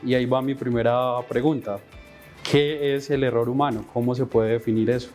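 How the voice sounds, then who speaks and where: A man's voice: medium pitch, fairly even intonation, normal speed, a little mellow. Man hosting a podcast over faint music. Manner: earnest, many fillers and restarts when asking questions. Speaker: podcast host.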